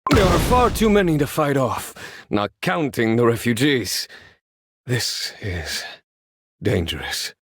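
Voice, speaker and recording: A man's voice: mid-range voice, big pitch swings, takes it slowly, husky, no room sound, heavily acted. A man voicing a loyal, anxious royal guard in a video game, earnest, formal and urgent. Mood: grave warning. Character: loyal, dutiful royal bodyguard, anxious for his queen. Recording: voice over game music.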